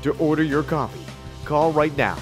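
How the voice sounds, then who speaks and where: A man's voice: fairly high pitch, moderate pace. A man reading a scripted promotional voice-over in an upbeat, salesy manner. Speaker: ad announcer. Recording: voice-over with faint music behind.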